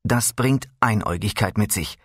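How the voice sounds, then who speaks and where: A man's voice: on the low side, moderate pace, a bit bright, no room sound, clean studio sound. A man giving a scripted reading of a first-person recollection. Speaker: reader of a memoir.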